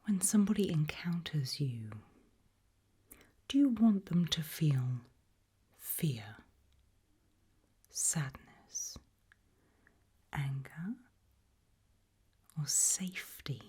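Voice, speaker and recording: Slow, breathy British woman whispering ASMR. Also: deep voice, expressive, mellow. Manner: slow, deliberate, with long pauses. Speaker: ASMR roleplay host. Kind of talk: ASMR roleplay, soft questions to the listener.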